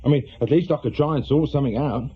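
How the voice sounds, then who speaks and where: An actor playing an awkward middle-aged reformed small-time crook with an English accent, a worried husband in a British radio drama, husky and hesitant. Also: male voice, mid-range voice, fast. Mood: earnest, troubled. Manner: hesitant, colloquial, defensive. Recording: muffled, lo-fi radio drama recording.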